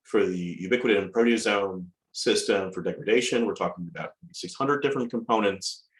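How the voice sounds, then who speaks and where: Raspy man with a cold giving a lecture. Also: on the low side, low-quality recording. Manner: measured, with frequent ums and restarts. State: hoarse from a cold. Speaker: guest lecturer. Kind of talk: academic lecture over video call. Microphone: video call.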